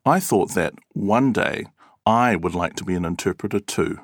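A man reading an interviewee's answers aloud in a clear, measured and expressive way. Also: sits low, noisy background. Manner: clear, measured and expressive, acting out example words. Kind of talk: scripted read-aloud.

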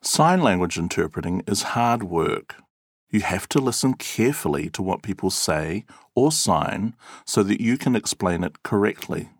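A man reading an interviewee's answers aloud in a clear, measured and expressive way. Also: deep voice, no echo, studio quality. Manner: clear, measured and expressive, acting out example words. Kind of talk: scripted read-aloud.

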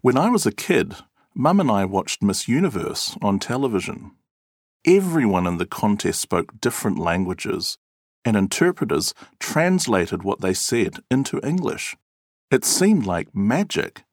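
A man reads interview answers from a script. His low voice moves through a wide, animated pitch range, and the delivery is clear and measured. The recording is dry and close. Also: dry recording. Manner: clear, measured and expressive, acting out example words. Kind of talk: scripted read-aloud.

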